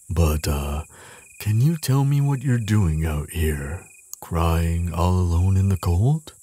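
Casting: male actor for a kindly, protective giant in an audio roleplay; very low, slow voice, tender and soothing. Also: mellow, slightly rough, exaggerated voice. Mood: kindly, curious. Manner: tender, reassuring, polite, slightly hesitant. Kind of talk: roleplay audio drama, speaking soothingly to the listener.

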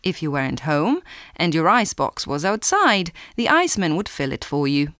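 A woman giving a clear, measured scripted reading for a language listening exam. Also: low-pitched, very animated, a bit bright. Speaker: exam recording reader.

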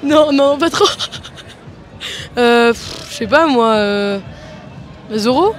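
Young woman, offhand and brief, high voice, outdoors. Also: lively intonation, slow pace, slight background noise. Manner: short, offhand replies. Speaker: carnival participant in costume. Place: outdoor steps at a carnival. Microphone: handheld mic held to her.

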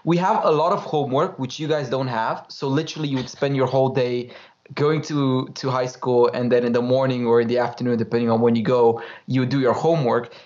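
A man's voice: medium pitch, steady tone, brisk, darkish tone. A young man from Romania, telling his story as an interview guest in a chatty, informal way over a video call. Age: young adult. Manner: chatty, laughs easily, loose and informal. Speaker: guest, course creator. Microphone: headphones, video call. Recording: slightly dull call audio.

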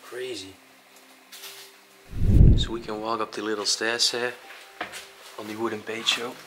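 A young man casually exploring a dark abandoned aquarium, curious and describing what he finds, with short laughs. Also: on the low side, little pitch movement, a little slow, noisy background. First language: Dutch. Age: young adult. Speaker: urban explorer.

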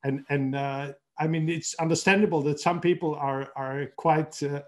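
A middle-aged man from Austria hosting a podcast interview over a video call from his home study, talking haltingly and repeating words as he searches for phrasing. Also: a little high, steady tone. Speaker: podcast host. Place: home room with bookshelves.

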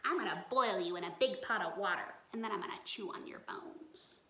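A woman's voice: mid-range voice, fairly quick, a bit bright, pitched below the performer's own voice, lightly acted. A mean storybook swamp witch acted out by a woman threatens in a wicked cackle. The voice rings in a roomy space. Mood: gleefully menacing. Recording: roomy indoor echo.

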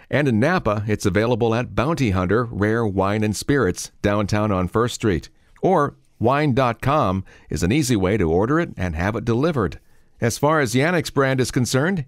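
A man hosting a wine podcast, reading a scripted segment in a smooth, even delivery. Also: medium pitch, dry recording, studio quality. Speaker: podcast host. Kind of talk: scripted narration.

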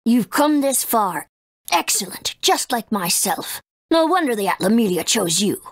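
Arrogant game villain, mid-pitched, animated, unhurried, bitterly scornful, dry studio sound. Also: female voice, dry recording. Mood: haughty, amused. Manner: imperious, theatrical, drawn-out. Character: haughty, bitter ruler of darkness who despises humans. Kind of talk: video game voice acting.

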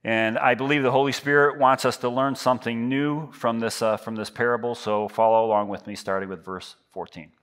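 A man's voice: medium pitch, steady tone, brisk, hardly any pauses, a bit bright, clear, resonant tone. A man in his fifties preaching a sermon on a church stage into a headset mic, talking in a loose, self-deprecating way with frequent restarts. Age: 50s. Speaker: pastor. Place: large church stage with lit backdrop.

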